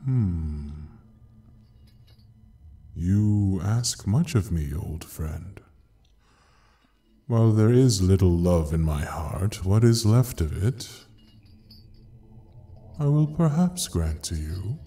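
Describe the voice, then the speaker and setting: A man's voice: low voice, animated, takes it slowly, long pauses, dark tone, heavily acted. A man playing an ancient, cruel, mocking devil king in an ASMR audio drama, with theatrical, formal menace. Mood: measured, taunting.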